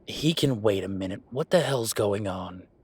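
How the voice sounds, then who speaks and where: A man playing a gruff, sarcastic merman who runs a tattoo shop, speaking in a halting, conversational audio roleplay style. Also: lowish voice, animated, darkish tone. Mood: concerned, gruff. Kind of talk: naturalistic one-sided audio roleplay.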